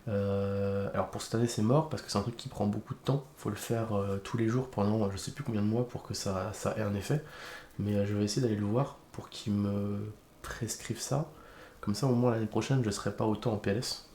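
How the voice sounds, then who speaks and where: A man's voice: on the low side, steady tone, fairly quick, darkish tone, reverberant, slight background noise. A man streamer with a head cold, chatting casually on a live stream and rambling with lots of hesitations. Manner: rambling, hesitant, with many fillers. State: congested with a cold.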